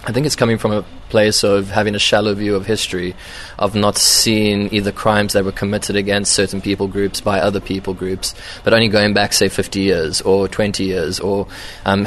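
A man's voice: deep voice, a little hiss or hum. A man, a film director, answering interview questions in a thoughtful, hesitant way with many ums and restarts. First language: English. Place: event venue.

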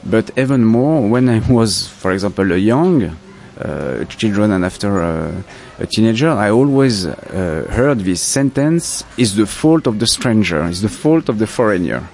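A man from France with a French accent, a filmmaker answering interview questions in a halting, reflective way as he searches for words. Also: lowish voice, sing-song, darkish tone, a little hiss or hum. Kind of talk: festival interview.

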